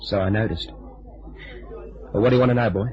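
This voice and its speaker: Low, sardonic man playing a radio gangster. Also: steady tone, a little fast, crowd noise, close to an everyday voice. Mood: guarded, curious. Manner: sardonic, clipped tough-guy talk. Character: menacing, sardonic gangster, loyal to his friends. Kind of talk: old-time detective radio drama acting. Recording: dull, noisy vintage radio recording.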